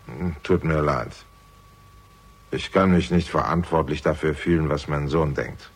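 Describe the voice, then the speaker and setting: An actor playing an unflappable older father in a German radio crime drama, with a gravelly voice and a dry, measured, ironic manner. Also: rumbling low, exaggerated voice. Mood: calm, sardonic.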